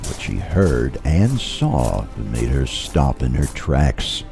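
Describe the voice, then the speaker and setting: A man's voice: rumbling low, big pitch swings, slow, creaky, over loud music, exaggerated voice. A man playing a solemn storyteller, narrating an audio drama adventure. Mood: dramatic.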